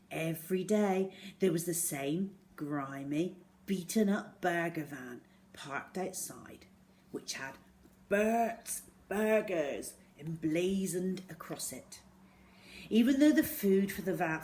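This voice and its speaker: A woman reading a children's story aloud in an expressive way, acting out the words as she goes. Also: sits low, a little hiss or hum. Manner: expressive, acts out dialogue and sound words.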